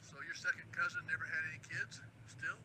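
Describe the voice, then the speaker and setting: A man's voice: on the high side, level tone, fairly quick, pushed and bright, a little hiss or hum, low-quality recording. A man voicing a weary radio station producer in a game, frank and confiding. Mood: candid.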